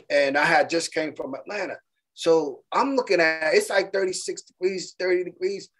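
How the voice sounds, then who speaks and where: A man's voice: a little high, normal speed, fairly bright tone. Laid-back middle-aged man, storytelling host. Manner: laid-back storyteller, says "you know what I'm saying" and "man". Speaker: podcast co-host. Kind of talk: casual interview chat. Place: indoor room with virtual backdrop. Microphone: webcam on a video call.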